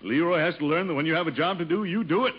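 An actor playing a pompous middle-aged uncle in a blustery, fruity voice, in 1950s radio comedy style. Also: male voice, a little high, breathy edge. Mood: self-important. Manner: blustery, drawn-out hems and haws. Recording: dull vintage radio recording with noise.

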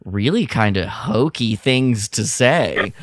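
A man's voice: fairly low pitch, expressive, slow, no echo. A man chatting casually on a podcast.

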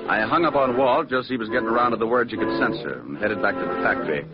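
An actor playing a wisecracking, hard-boiled private detective with a dry, flippant manner in 1940s radio style. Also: male voice, medium pitch, quick pace, over loud music, natural voice. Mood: narrating, matter-of-fact. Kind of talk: 1940s radio detective acting and first-person narration. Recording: muffled, noisy old radio recording.

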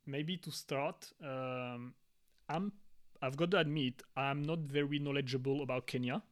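A man co-hosting a podcast, asking casual questions in a chatty way with soft laughs and hesitant fillers. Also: medium pitch, steady tone, a little slow. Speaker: podcast co-host.

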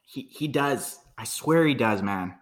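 A man's voice: mid-range voice, expressive, measured pace. A man from Canada chatting casually about football, frank and rambling, swearing freely. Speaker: podcast co-host. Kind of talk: casual sports chat.

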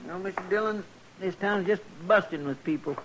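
Man as a high-voiced folksy western sidekick. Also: a little fast, close to an everyday voice, low-quality recording. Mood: puzzled, friendly. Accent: American rural drawl. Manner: folksy, chattering, drawn to gossip. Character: chatty, folksy, eager frontier sidekick of a marshal. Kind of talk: 1950s radio western acting. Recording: old radio recording with background noise.

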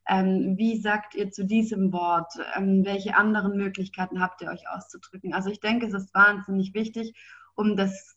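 A woman's voice: medium pitch, highs cut off. A young German woman, a podcast guest, speaking fluently and thoughtfully. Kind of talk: casual interview.

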